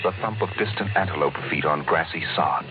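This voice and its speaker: A male actor with an American accent playing a grave, measured narrator of a 1950s science fiction radio drama. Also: very deep voice. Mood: grave, vivid. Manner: measured, solemn, vivid storytelling. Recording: muffled vintage radio recording, loud music.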